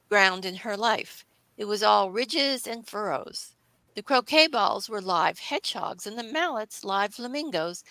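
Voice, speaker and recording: A woman reads a classic story aloud as narrator. Her voice is bright and forward at a middling pitch, recorded close and dry. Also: no echo, rough recording.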